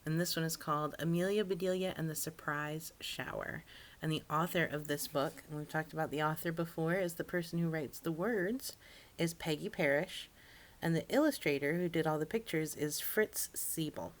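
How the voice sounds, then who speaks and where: Female story-time reader, fairly low voice, expressive picture-book narration with asides to children. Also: normal speed.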